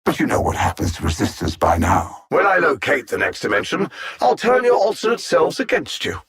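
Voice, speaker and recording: A man playing a cold, arrogant alien machine supervillain in a video game, with a raspy voice and a condescending, menacing, deliberate delivery. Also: fairly high pitch, wildly swooping pitch, fairly bright tone, heavily acted. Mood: smug, menacing. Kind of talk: scripted video game villain dialogue.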